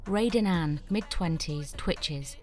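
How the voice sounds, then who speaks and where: A woman narrating the stage directions of a screenplay in a clear, measured way. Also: lowish voice, darkish tone, soft background music.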